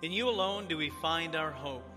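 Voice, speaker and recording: Male pastor from Tanzania, bright forceful tone, slightly higher voice, earnest measured sermon, long church reverb, soft music underneath. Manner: earnest, measured preaching cadence. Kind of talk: sermon with opening prayer. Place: church sanctuary.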